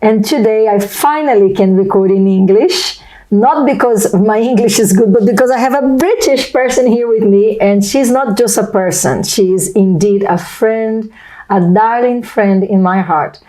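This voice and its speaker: A woman's voice: mid-range voice, moderate pace, mellow, rough recording. A middle-aged Brazilian woman with a Portuguese-accented way of speaking English, hosting a casual interview in an effusive, wordy manner. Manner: effusive, wordy, repeats phrases. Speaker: host of a video channel.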